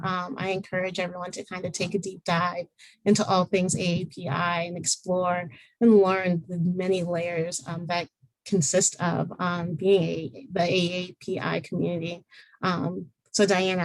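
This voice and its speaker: A woman hosts an online panel, fairly low-voiced and friendly. She leans on fillers and restarts as she talks. The sound is dry and close. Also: no echo, rough recording. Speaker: panel moderator. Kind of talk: casual online panel hosting.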